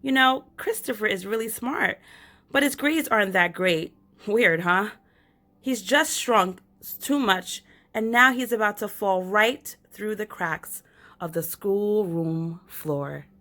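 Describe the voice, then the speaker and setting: A woman with a slightly worn voice reading aloud as a chatty young girl who has shrunk, in a lively storybook style. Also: mid-range voice, takes it slowly. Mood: storytelling. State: losing her voice.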